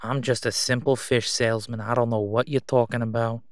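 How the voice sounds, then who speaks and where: A man acts out a middle-aged crime boss for an audio drama, sly and self-assured. He draws out his words with mocking condescension, smooth-talking his way along. The sound is close and dry. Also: mid-range voice, little pitch movement, dry recording, natural voice. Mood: feigned innocence. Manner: sarcastic, smooth-talking, drawn-out condescending delivery. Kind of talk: roleplay audio drama monologue.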